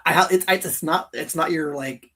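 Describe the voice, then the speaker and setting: A man's voice: a little high, moderate pace, pushed and bright. A man co-hosting a livestream countdown, chatting in an informal, enthusiastic way without a script. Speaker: co-host of a video game countdown livestream. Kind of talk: casual unscripted chat.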